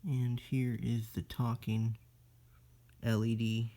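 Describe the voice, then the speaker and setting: Casual hobby demo presenter: unhurried and gravelly, delivering instructions in halting pieces. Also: mid-range voice, level tone, dark tone. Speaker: demonstrator in a homemade electronics video. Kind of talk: casual hands-on product demo.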